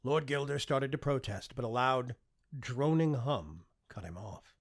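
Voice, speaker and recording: A man's voice: medium pitch, unhurried. A man narrating fiction as an audiobook reader, in a dramatic, emphatic scripted style.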